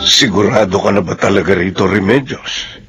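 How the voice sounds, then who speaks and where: A man's voice: low-pitched, moderate pace, loud music behind the voice, lightly acted. An actor playing a loyal, deferential middle-aged houseman in a Filipino radio drama, speaking hesitantly with stammers. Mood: worried, hesitant.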